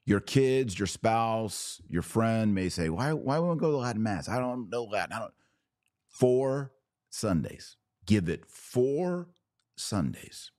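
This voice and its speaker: A man's voice: lowish voice, expressive, slow, a little mellow, no room sound, clean studio sound. A man hosting a religious podcast, speaking straight to his viewers in an earnest, emphatic, preacherly way. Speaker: podcast host. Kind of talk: live religious commentary to viewers, with exhortation and prayer.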